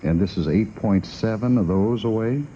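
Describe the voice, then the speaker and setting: A man's voice: on the low side, moderate pace, dark tone. A man hosting a 1960s radio talk program, on an old aircheck recording. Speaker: radio talk show host. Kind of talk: 1960s radio interview and announcing. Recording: dull, faintly noisy 1960s radio recording.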